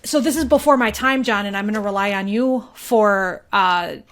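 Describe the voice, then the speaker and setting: Woman, mid-pitched, local reporter chatting on a podcast; informal, animated and playful delivery. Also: moderate pace. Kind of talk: casual conversational podcast discussion.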